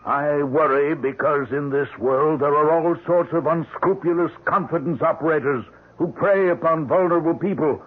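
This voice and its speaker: Skeptical, concerned doctor played by a male actor, deliberate and admonishing, husky, narrow old-radio audio. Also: a little high, natural voice. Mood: stern warning. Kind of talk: old-time radio drama acting. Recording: tinny band-limited old radio recording.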